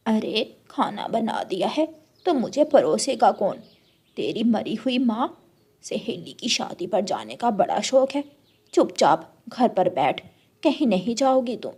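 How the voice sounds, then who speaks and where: A woman voicing a husky, cruel old mother-in-law bird. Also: a little high, very animated, unhurried, darkish tone, heavily acted. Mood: scolding. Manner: taunting, bossy, scornful. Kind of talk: Hindi children's cartoon story voice acting.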